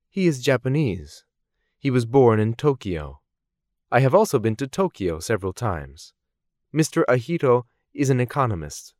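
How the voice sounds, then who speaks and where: A man reading scripted English course dialogues in a slow, clearly articulated, measured way. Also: medium pitch, a little mellow, no echo, studio quality. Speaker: language course voice actor.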